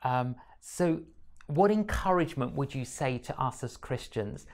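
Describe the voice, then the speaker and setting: An older man hosting an interview in a conversational, deliberate way, repeating phrases for emphasis and readily agreeing. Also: mid-range voice, animated, creaky. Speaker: interview host. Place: small indoor studio room.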